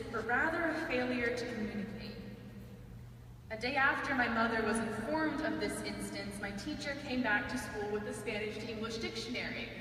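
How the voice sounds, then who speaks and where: A young woman student giving a prepared speech, earnest and measured with touches of dry humor. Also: fairly high pitch, pushed and bright. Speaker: student speaker. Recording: echoey hall, mic far off, faint hiss.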